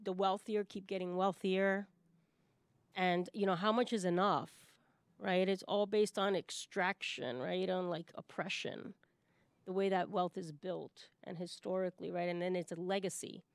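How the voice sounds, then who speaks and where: A woman's voice: fairly low pitch, steady tone, normal speed, no room sound. A woman from Puerto Rico speaking emphatically and off the cuff in a panel discussion, often checking in with a tag question. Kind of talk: panel discussion, impassioned unscripted talk.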